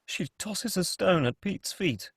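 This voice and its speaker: Male table-read narrator for screenplay directions: slightly high, fry in the voice, very dry recording. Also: lively intonation, unhurried, dry recording.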